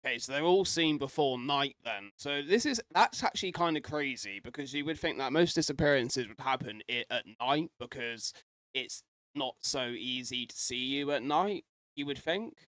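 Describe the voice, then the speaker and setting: A man's voice: medium pitch, lively intonation, moderate pace, fairly bright tone, dry recording. A man giving casual, rambling reaction commentary as a host, speculating aloud and mildly sweary.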